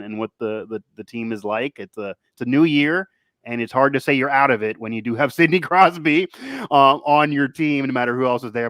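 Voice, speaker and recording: A man's voice: medium pitch, a bit bright, dry recording. A man hosting a sports show, talking casually in a rambling way that circles back on itself. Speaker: sports podcast host. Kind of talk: casual sports talk and interview.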